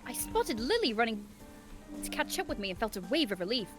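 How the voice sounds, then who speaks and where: A woman reading aloud as a shy, flustered teenage schoolgirl in a visual novel, expressive and earnest. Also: fairly high pitch, fairly quick, music in the background. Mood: earnest narration.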